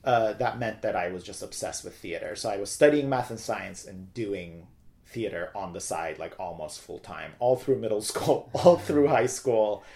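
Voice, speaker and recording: Low-voiced, talkative man. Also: animated, moderate pace, creaky, warm tone. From Turkey. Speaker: interview guest, theater director. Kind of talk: casual personal storytelling in an interview.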